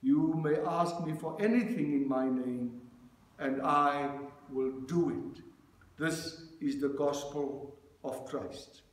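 A man leading a church service as minister, reading scripture and preaching in a measured cadence at a lectern. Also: on the high side. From South Africa. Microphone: lectern microphone, far camera. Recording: very echoey church room.

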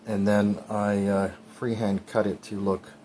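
A man's voice: fairly low pitch, level tone, a little slow, darkish tone, a little hiss or hum. A man in his forties casually narrating his bike build over clips, chatty with frequent ums. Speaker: cycling vlogger.

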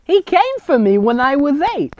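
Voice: high-pitched, big pitch swings, normal speed, dry recording, dull audio, pitched above the performer's own voice. A reader voicing an elderly grandmother witch, theatrically reminiscing through an exaggerated storybook reading.

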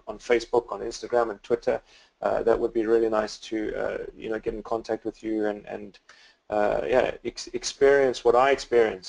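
A South African man in middle age with a rough, gravelly voice. He talks in a level, even tone, full of pauses, "uh"s and restarts. He is speaking into a computer mic from his home office. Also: mid-range voice, low-quality recording. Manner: hesitant, many fillers and restarts. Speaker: guest photographer. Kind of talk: webinar presentation.

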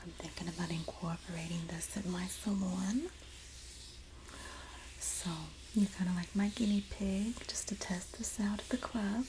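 A woman playing a sassy, supportive Jersey girl in a soft-spoken, whispered ASMR roleplay, with a New Jersey accent and a gossipy, soothing manner. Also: mid-range voice, noisy background, natural voice. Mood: soothing, reassuring. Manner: gossipy, flattering, soothing, drawn-out delivery. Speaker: ASMR performer.

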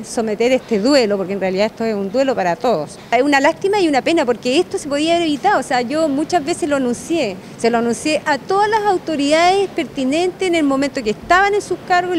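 A Chilean woman in her forties, a hotel manager speaking in a news interview, halting and emotional, often restarting her words. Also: a little high, a little hiss or hum. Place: inside a wooden restaurant by the sea. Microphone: handheld microphone held close.

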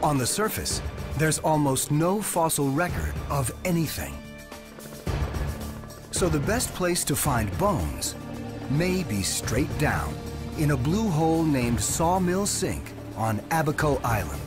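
A male documentary narrator tells the story in a measured, scripted delivery. His voice sits a little high and swings widely in pitch. A loud music score plays beneath him throughout. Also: very animated.

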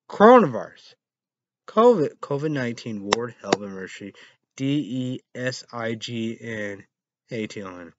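A dull, lo-fi webcam recording with little room echo carries a man in his thirties. He rambles through a solo online show in a mid-range voice. His delivery is halting and repetitive, given to sudden loud exclamations. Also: medium pitch. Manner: halting, spells words out, repeats phrases, bursts into loud exclamations. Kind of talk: rambling solo vlog reading weather and news headlines. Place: small dim room indoors. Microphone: webcam or laptop mic at arm's length.

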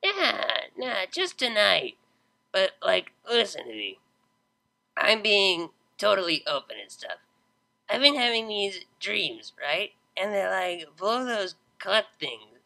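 A woman voicing a drunk, laid-back young female unicorn DJ who drawls slow, slurred slang. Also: medium pitch, gravelly, bright, forceful tone, pitched above the performer's own voice, full character voice.

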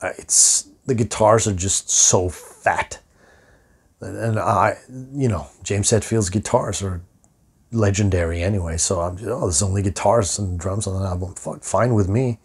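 A hoarse, middle-aged man talks in a low, gravelly, husky voice, rambling loosely and swearing freely. He keeps cutting himself off and restarting mid-thought. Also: a little slow. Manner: rambling, profane, self-interrupting. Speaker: metal musician and vlogger. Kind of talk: casual rant to camera.

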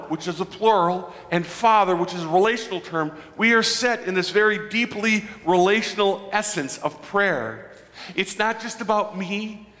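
A man in his forties preaching a conversational sermon as a pastor, animated and joking with his congregation. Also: high voice. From Midwestern United States. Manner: animated, gestures broadly, jokes with the congregation. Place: large church sanctuary. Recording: long church reverb.

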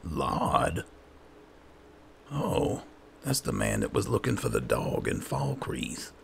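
Very deep, gravelly man musing over a game. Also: wildly swooping pitch, slow, slight background noise. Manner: musing aloud to himself. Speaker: gaming host doing roleplay playthrough. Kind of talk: casual game commentary, roleplaying aloud.

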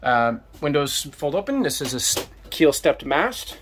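A man in his fifties hosting a casual walkthrough tour of a sailboat, talking in a relaxed, enthusiastic way with lots of fillers, recorded on a handheld camera inside a small cabin. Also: on the high side, a little slow, a little hiss or hum. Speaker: boat tour host. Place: small boat cabin, indoors. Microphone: handheld camera mic at arm's length.

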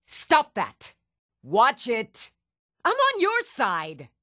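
An actress playing an earnest, dutiful woman of a fantasy realm in a video game, speaking in a measured, composed and formal way. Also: fairly high pitch, wildly swooping pitch, fairly bright tone, dry recording, studio quality, exaggerated voice. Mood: alarmed, warning.

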